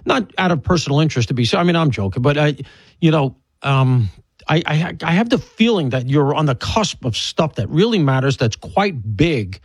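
A man's voice: a little high, animated, moderate pace, slightly rough, no echo. An older man with an Alabama accent, hosting an interview with wisecracking, self-deprecating humor. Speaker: radio host. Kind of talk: joking interview chat.

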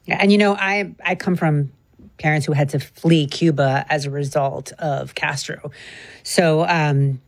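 A woman's voice: low voice, fairly even intonation, measured pace, dry recording. A woman co-hosting an interview in a casual, conversational style.

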